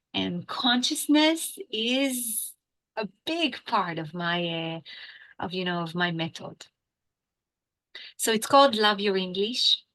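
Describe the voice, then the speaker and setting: Israeli woman guest, excitable and chatty, mid pitch, keeps restarting her sentences. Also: poor audio. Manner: animated, lots of fillers and restarts. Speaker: English teacher, guest. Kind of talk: casual interview chat.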